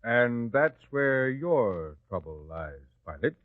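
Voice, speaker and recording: A scheming priest who persuades with sly insistence. His voice moves lively up and down. It comes through a dry, phone-like recording. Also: mid-range voice, takes it slowly, no echo. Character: scheming old high priest, smooth persuader. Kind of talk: old-time radio drama acting.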